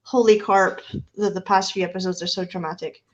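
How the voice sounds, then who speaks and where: A woman's voice: mid-range voice, steady tone, brisk, muffled recording, a loud crowd. A woman from Italy, a comic artist guest on a casual livestream chat, chatty and giggly, using lots of fillers.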